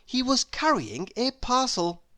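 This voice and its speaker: A woman narrating a children's story in a measured, expressive read-aloud style. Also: on the high side. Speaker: storybook reader.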